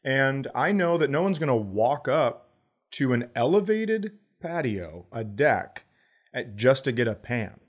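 An American man talks as a podcast host in a loose, comedic way. His voice sits at a middle pitch, and he argues his points playfully with casual swearing. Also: sing-song, a little slow.

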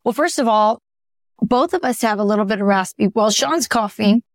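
A woman co-hosting a casual political chat podcast, hoarse and raspy from a cold, lively and quick to laugh. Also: mid-range voice, dry recording, clean studio sound. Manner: lively, laughs easily, asks her co-host questions. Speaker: podcast co-host.

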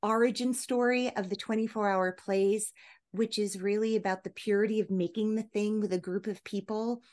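A woman's voice: medium pitch, steady tone, normal speed, a little mellow. A woman with an American accent hosting a casual interview podcast, effusive and appreciative in her way of talking. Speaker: podcast host.

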